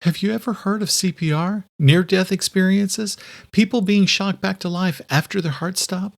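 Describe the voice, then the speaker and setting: A man's voice: high-pitched, normal speed, gravelly, pitched above the performer's own voice. A man voicing a confident, insistent woman in an audiobook, explaining things emphatically.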